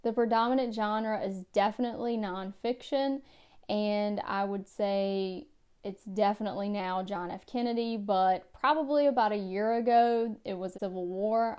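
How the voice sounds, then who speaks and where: Lady talking books at her shelves, smooth clear voice, careful and even. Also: medium pitch. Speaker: book vlogger. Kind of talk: casual book tag vlog. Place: home room by bookshelves.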